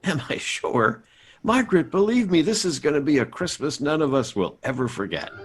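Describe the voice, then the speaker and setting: A man's voice: fairly high pitch, big pitch swings, normal speed, very noisy. An actor playing a stubborn, idealistic middle-aged American father in a 1950s-style radio sitcom, emphatic and given to stammering. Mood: breezy, reassuring. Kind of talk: 1950s radio sitcom acting, performed by players.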